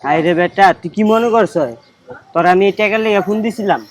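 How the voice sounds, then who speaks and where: A man's voice: sits high, quick pace, a little hiss or hum, natural voice. A man with a Bangladeshi Bengali accent, acting an earnest, anxious young man in an amateur short drama, speaking colloquially and urgently. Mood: angry reproach. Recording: background music over dialogue.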